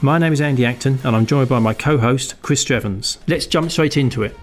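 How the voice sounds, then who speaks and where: A man's voice: mid-range voice, a little fast, soft background music. A man hosting a podcast, opening with a scripted intro and asking probing questions with many restarts. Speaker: podcast host.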